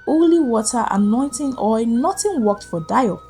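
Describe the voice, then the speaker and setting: A woman narrating a scripted folktale as a storyteller, with suspenseful, deliberate phrasing. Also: on the high side, animated, mellow. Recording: music behind the voice. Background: background music.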